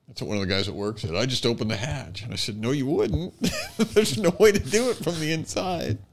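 A man talks casually as a podcast co-host. His voice is average in pitch for a man. He often halts and restarts while searching for the right phrase. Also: sing-song, a little fast, gravelly. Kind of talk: casual chat.

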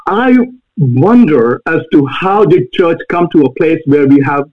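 A man with an Indian accent, a guest answering interview questions earnestly, pausing often on fillers. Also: a little high, lively intonation. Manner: earnest, halting with many fillers. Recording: tinny phone-like line.

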